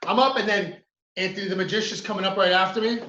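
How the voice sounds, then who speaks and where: A man from the United States doing a stand-up comedy routine. He tells his stories with animated energy and acts out other people's voices. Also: sits high, fast, reverberant, highs cut off. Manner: animated storytelling, acts out his mother and friend.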